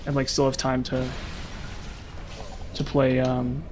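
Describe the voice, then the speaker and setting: Young guy streaming a game, kinda hoarse, monotone and rambly, faint hiss behind. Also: mid-range voice, takes it slowly. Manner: rambling, lots of "like" and "um". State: slightly hoarse from long talking. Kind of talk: casual live-stream chat while gaming.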